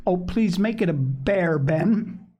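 A man voicing an impatient, excitable little boy in a storybook reading, pleading and insistent. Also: mid-range voice, unhurried.

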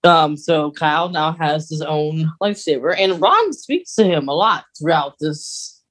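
Slow-talking podcast co-host, casual and chatty. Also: mid-range voice, animated, a bit bright. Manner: informal, conversational recapping. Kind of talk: casual chat.

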